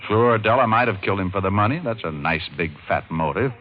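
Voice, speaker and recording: A man playing a sharp, wisecracking private detective in casual, slangy old-time radio style. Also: on the low side, animated, quick pace, natural voice. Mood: insistent. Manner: casual, slangy, reasoning aloud. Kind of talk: 1940s-50s radio detective acting and narration. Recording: muffled, noisy old radio recording.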